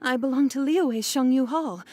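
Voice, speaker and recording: A woman's voice: sits high, moderate pace, dark tone, soft background music, lightly acted. A woman playing a polite, earnest young female scholar in a video game, with an apologetic air. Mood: relieved. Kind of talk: video game character acting.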